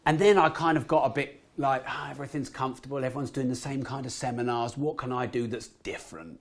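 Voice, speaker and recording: Croaky man telling stories. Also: medium pitch, quick pace. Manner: animated, swears casually. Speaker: guest entrepreneur. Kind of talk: podcast interview storytelling.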